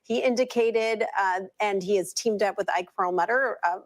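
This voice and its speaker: A woman's voice: medium pitch, normal speed. A woman working as a business reporter, asking direct, professional questions in a broadcast interview.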